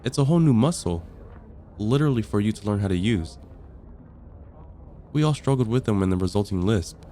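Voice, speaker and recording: Man acting as vampire caseworker, lowish pitch, calm, patient, gently wry, conversational ASMR roleplay, background ambience. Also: a little fast, slight background noise, natural voice. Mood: amused, reassuring. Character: friendly, patient vampire caseworker onboarding a newcomer, dryly amused.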